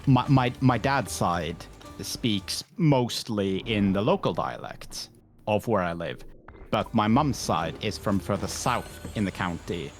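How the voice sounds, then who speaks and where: A man's voice: fairly low pitch, expressive, slow, soft background music. A man, chatting casually on a game stream with many fillers and restarts. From Norway. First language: Norwegian.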